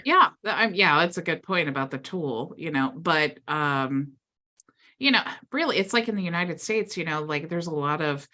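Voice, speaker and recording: A woman's voice: deep voice, lively intonation, moderate pace, pushed and bright. A woman hosting a live Q&A video call, chatty and upbeat with lots of filler words. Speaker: mastermind call host.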